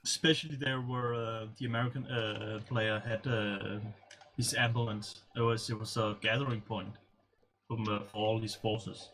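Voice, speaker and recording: A man's voice: fairly low pitch, little pitch movement, normal speed, a bit bright, poor audio. A man co-casting a strategy game replay, speaking hesitantly with many fillers and chuckling along, on a home microphone. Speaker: game co-caster. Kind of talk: casual strategy game commentary.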